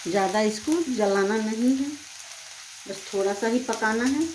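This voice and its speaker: A woman's voice: on the high side, measured pace, darkish tone, slight background noise, poor audio. A woman hosting a home cooking channel, explaining each step plainly in her kitchen. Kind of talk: home cooking demonstration voice-over.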